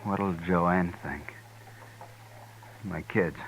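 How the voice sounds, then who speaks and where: A man playing a ruined, despairing frontier banker, halting and subdued, in a 1950s radio western. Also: low-pitched, steady tone, full character voice. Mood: hopeless despair. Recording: noisy 1950s radio recording.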